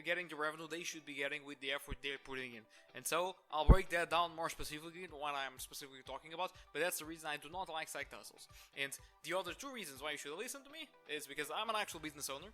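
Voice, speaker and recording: A man's voice: on the high side, sharp tone. A man in his twenties with a Portuguese accent, a business owner giving his opinions in a talking-head video with many restarts. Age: 20s. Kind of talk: talking-head monologue to camera.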